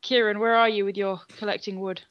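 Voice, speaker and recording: A woman's voice: medium pitch, flat delivery, fairly quick, no echo. A young woman co-hosting a gaming video, chatting casually as she plays. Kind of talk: casual gameplay chat. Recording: dull lo-fi voice-chat sound.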